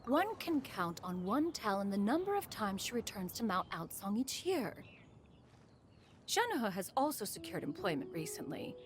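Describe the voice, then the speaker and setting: A woman's voice: mid-range voice, big pitch swings, normal speed, a little hiss or hum, lightly acted. A woman voicing a proud, ancient immortal in a video game, speaking formally and haughtily. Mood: indignant, concerned. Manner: formal, haughty, refers to self as "one". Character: Cloud Retainer, proud ancient crane immortal in woman's form. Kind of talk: video game character acting.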